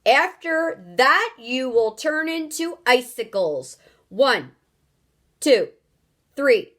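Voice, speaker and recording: A woman reading aloud, voicing an angry, urgent man who booms orders over a loudspeaker. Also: lively intonation, slow pace, full character voice. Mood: threatening. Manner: commanding, urgent, shouting. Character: booming, angry male voice of space control.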